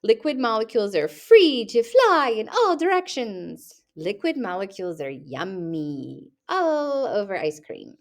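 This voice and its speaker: A woman's voice: mid-range voice, big pitch swings, measured pace, few pauses. A young woman teacher reading test questions aloud and rephrasing them playfully for her students, recorded at her computer. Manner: reads each question, then rephrases it playfully.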